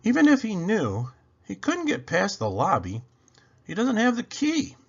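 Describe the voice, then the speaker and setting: Man doing high-pitched skeptical young girl. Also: huge pitch swings, a little slow, slightly rough, highs cut off, heavily acted. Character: spirited, skeptical young girl, Rachel, a friend's sister.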